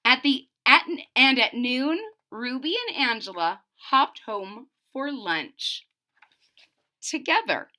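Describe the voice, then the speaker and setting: Female children's story reader; expressive, slow-paced and bright, explaining simply as she goes. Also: fairly high pitch. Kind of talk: animated read-aloud for young children with explanatory asides.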